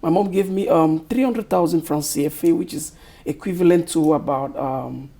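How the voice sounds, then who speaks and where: A middle-aged man from Cameroon with a Cameroonian accent, answering interview questions slowly and thoughtfully, often searching for words. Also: on the high side, vocal fry, dark tone, slight background noise. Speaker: author guest.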